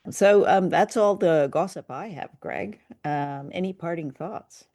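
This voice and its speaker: A woman co-hosting a conversational review show, speaking in measured, hesitant phrases. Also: lowish voice, darkish tone, dry recording, clean studio sound. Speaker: podcast co-host. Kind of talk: conversational radio drama review.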